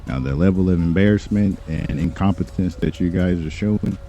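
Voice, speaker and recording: Very deep-voiced, condescending man, mellow tone. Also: dull audio. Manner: stern, condescending, deliberate. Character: assertive, condescending male attorney confronting police. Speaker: roleplay streamer playing a lawyer. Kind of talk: in-character improvised game roleplay, formal argumentative talk.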